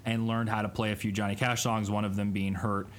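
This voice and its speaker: Low-voiced man telling a rambling story. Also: little pitch movement, brisk. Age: 30s. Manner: rambling, self-correcting storyteller. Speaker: podcast co-host. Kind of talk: casual chat.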